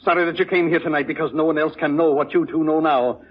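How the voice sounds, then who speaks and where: An actor playing a cornered, unraveling embezzler executive in melodramatic 1940s radio crime drama style. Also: male voice, a little high, steady tone, quick pace, close to an everyday voice. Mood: cold, menacing. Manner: melodramatic, overwrought. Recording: noisy, dull old radio recording.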